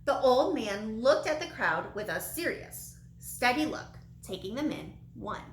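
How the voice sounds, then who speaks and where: A woman reads a children's storybook aloud at a slow pace. Her voice sits fairly high, in a roomy, echoing space with faint noise. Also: animated. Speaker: storybook reader, teacher. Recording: echoey room with light background noise.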